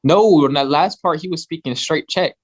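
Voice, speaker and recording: A man's voice: on the high side, moderate pace. A man co-hosting a casual podcast, blunt and calling his friend bro. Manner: blunt, says bro a lot. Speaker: podcast co-host.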